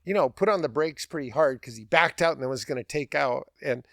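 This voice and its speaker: A man hosts a podcast, spinning a casual anecdote. His voice is a little high, with repeated phrases for emphasis and an amused delivery, recorded very dry and close. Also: animated, brisk, creaky, no room sound. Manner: chuckles while talking, repeats phrases for emphasis. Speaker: podcast host. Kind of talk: animated casual anecdote telling.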